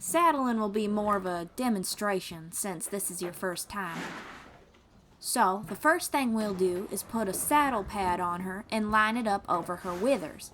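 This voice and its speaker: A woman's voice: mid-range voice, a little slow, slight background noise, lightly acted. A woman playing a kind, patient young bard in an audio roleplay, teaching the listener in an encouraging, folksy way. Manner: encouraging, gently instructive, folksy.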